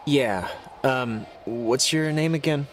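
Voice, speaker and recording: A man with an American accent playing an awkward, laid-back teenage newcomer in a video game, hesitant and low-key. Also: slow, slight background noise, lightly acted. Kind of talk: naturalistic video game acting.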